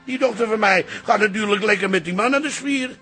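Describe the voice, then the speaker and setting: An actor playing an excitable, blustering old father in a Dutch radio play, grumbling yet soft-hearted. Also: high-pitched, brisk, soft background music. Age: older. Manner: blustering, exclaiming.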